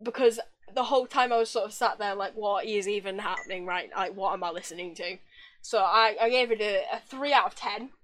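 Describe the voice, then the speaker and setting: A woman's voice: mid-range voice, fairly quick, a bit bright. A woman co-hosting a casual podcast chat, teasing and laughing often as she reviews songs. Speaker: podcast co-host.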